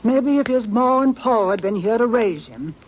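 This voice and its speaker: An actress playing a stern elderly frontier grandmother in a 1950s radio drama, speaking plain rural talk in a grim, steady way over faint hiss. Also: a little high, moderate pace, lightly acted, low-quality recording. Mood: bitter. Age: older. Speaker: grandmother of a young gunman.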